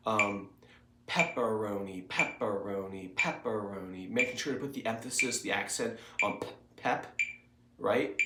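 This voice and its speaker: A man's voice: fairly low pitch, roomy sound, very noisy. A man with a cold, sounding congested, talking a student through a guitar lesson in a loose, rambling way full of fillers. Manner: rambling, filler-heavy explanations. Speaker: guitar teacher. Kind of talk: casual recorded lesson to a student. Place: home music studio.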